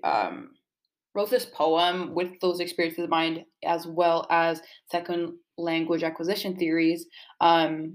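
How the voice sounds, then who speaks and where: A young woman with a fairly low voice talks as a student being interviewed about their own writing. The delivery is casual and earnest, explaining things informally with plenty of "like" along the way.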